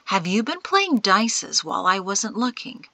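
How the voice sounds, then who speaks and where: A woman voicing a calm, wry old mentor in an audiobook, breathy and half-whispered, unhurried and gently chiding. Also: medium pitch, no room sound, heavily acted. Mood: calm, reassuring. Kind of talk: audiobook character acting.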